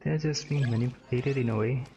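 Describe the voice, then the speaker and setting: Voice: medium pitch, a little fast, noisy background. A gamer giving casual play commentary in short, muttered reactions. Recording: game music behind voice.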